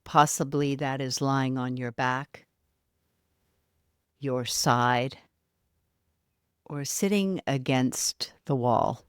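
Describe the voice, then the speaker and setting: A woman leading a guided yoga nidra relaxation in a soft, soothing manner, leaving long pauses between short phrases. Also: extremely low pitch, clear, resonant tone, dry recording, clean studio sound.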